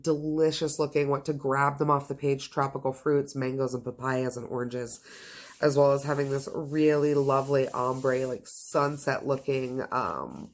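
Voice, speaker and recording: A woman chatting casually to camera as a book reviewer, with a gushing, enthusiastic and emphatic manner. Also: sits low. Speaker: book reviewer and video host.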